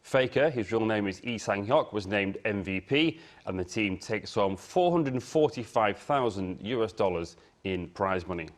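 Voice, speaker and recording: A man's voice: fairly low pitch, animated, moderate pace, hardly any pauses. Man presenting sports news. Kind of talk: broadcast sports report. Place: TV news studio.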